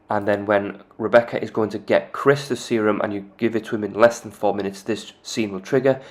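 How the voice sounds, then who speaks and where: A man calmly explaining video game story triggers in a methodical, plain way. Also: fairly low pitch.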